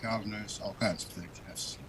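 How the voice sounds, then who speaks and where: A man who is a former magazine editor, introducing himself haltingly with many pauses. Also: on the low side, fairly even intonation, crowd noise. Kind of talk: roundtable self-introduction.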